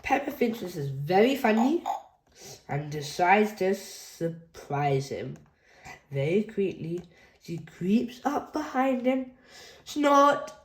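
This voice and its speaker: A woman narrates a children's picture book slowly and gently, with a somewhat low voice. Her reading carries a clear echo from the room around her. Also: sing-song. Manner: slow, gentle storytelling. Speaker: storybook reader. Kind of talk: children's read-aloud narration. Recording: echoey room.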